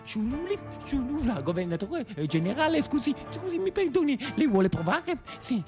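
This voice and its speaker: Casting: overexcited fawning servant, very high swooping voice, fussy and sing-song, comic radio theatre with music behind. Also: male voice, very animated, normal speed, exaggerated voice. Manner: obsequious, exclaiming, sing-song.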